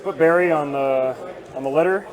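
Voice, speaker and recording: A hoarse-voiced man talking casually as he plays a tabletop wargame. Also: fairly high pitch, moderate pace. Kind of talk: casual game talk. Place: game store during a tournament. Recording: busy game store crowd behind.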